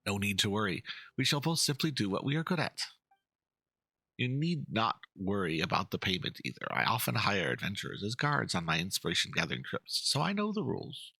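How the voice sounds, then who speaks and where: A man's voice: lowish voice, huge pitch swings, a little fast, creaky, sharp tone, slightly rough, no echo, exaggerated voice. A man voicing a passionate, pompous painter who speaks in florid, theatrical phrases, in a dramatic reading of game dialogue. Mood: eager, courteous. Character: passionate, fussy male painter, florid and easily indignant.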